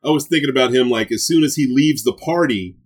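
A man's voice: medium pitch, fast talker, husky. A man co-hosting a podcast in casual chat, slangy and enthusiastic. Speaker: podcast co-host. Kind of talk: casual chat, riffing on movie ideas.

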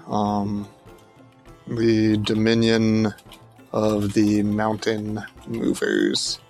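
Slow, halting man. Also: lowish voice. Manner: halting, with many fillers. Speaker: player. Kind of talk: casual tabletop game chat.

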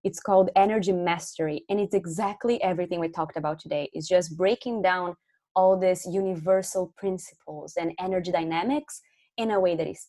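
A woman's voice: medium pitch, darkish tone. A woman with a Brazilian accent, a podcast guest and energy coach, talking in an earnest, reflective way. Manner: earnest, reflective, spiritual vocabulary. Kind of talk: casual podcast conversation.

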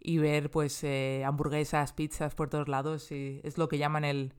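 A woman's voice: deep voice, flat delivery, normal speed, darkish tone, clear, resonant tone. A woman in her thirties with a Spanish accent, chatting casually as an interview guest and restarting her sentences often. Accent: Spain Spanish. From Spain. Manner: chatty, many restarts and fillers.

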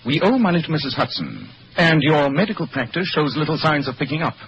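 A sardonic English detective, fairly high-voiced, with sweeping intonation and dry, precise superiority. It is old radio drama on a dull, noisy recording. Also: male voice, sing-song, fast talker. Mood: wry, calculating. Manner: precise, theatrical, dryly superior. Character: brilliant, bored, sardonic English gentleman detective.

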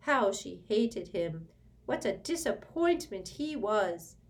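A woman's voice: mid-range voice, very animated, slow pace, pitched above the performer's own voice. A woman reading aloud and voicing a bitter old male house-elf servant who mutters furiously. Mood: muttering resentfully. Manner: furious hissing mutter, bowing servility. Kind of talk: audiobook character voice.

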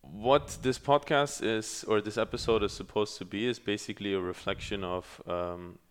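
A man from Germany hosting a podcast, speaking in a halting way with many pauses and restarts. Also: on the low side, steady tone, clean tone. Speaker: podcast host. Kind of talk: casual podcast chat.

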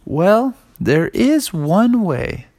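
A man doing friendly, high-voiced party-loving moon frogs. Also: very animated, very slow, full character voice. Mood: helpful. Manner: mellow slang, calling everyone man and brother.

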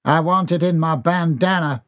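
A man's voice: fairly high pitch, normal speed, fairly bright tone, no room sound, studio quality, voice pushed up, lightly acted. A man doing a whiny overgrown farm son. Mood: whiny childish. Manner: whiny and sulky. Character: scruffy grown farm son, childish and whiny.

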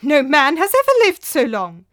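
Woman playing a blustering old master, high-pitched. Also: big pitch swings, normal speed, a bit bright, no room sound, studio quality. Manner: blustering, emphatic. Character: gullible, superstitious old master, excitable and stubborn. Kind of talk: dramatised storytelling.

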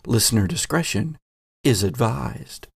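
A man's voice: medium pitch, lively intonation, measured pace, gravelly, husky. A man hosting a tabletop role-playing podcast as game master, talking casually to players and listeners.

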